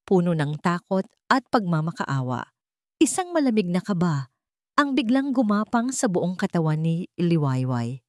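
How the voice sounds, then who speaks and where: Low-voiced woman narrating a dramatic story. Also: mellow, no echo, clean studio sound.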